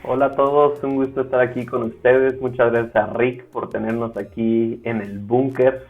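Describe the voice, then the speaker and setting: A man with a Mexican accent, speaking as a guest in a casual interview, measured and often pausing on "eh". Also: mid-range voice, flat delivery. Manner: measured, with frequent "eh" fillers. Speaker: guest, ministry school director. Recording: tinny phone-like line.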